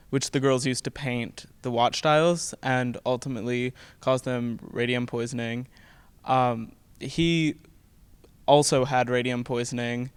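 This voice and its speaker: Very clear-voiced teenage American male. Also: medium pitch, no room sound. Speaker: student actor being interviewed. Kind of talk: explanatory interview talk.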